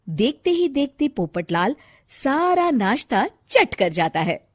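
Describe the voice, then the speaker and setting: A woman's voice: high-pitched, very animated, takes it slowly. A woman narrating a scripted comic story as a storyteller.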